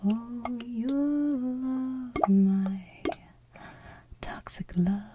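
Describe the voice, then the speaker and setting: A woman softly singing as an evil, gross sludge monster from a cartoon, in a drawn-out, gloating ASMR style. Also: on the high side, noisy background. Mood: gloating, sinister.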